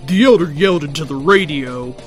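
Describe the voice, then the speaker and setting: A man with a fairly high voice reads a fan fiction story in the first person. His delivery is dramatic and declamatory, with music softly behind him. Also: a little fast. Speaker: story reader.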